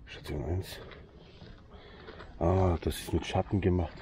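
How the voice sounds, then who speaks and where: A deep, low-voiced man with a Swiss accent talks casually to his viewers, joking as he walks. He is outdoors by a lake, with clear outdoor noise behind him. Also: steady tone, takes it slowly, warm tone. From Switzerland. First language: Swiss German. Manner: chatty, joking, addresses viewers directly. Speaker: vlogger. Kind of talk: casual vlog commentary to viewers.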